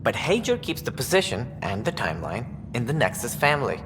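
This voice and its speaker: A self-important male alien politician from a video game. His mid-pitched voice is very animated and formal, arguing in a reverberant room with noticeable background noise. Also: a little fast. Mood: indignant. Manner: formal, pompous, argumentative. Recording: noisy, echoing hall, ambient sound.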